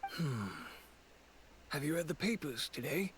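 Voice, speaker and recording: Uneasy game supplier, polite, hesitant, highish male pitch, animated intonation, faint noise. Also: measured pace. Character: male supplier bearing bad news, uneasy but polite. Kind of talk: video game voice acting.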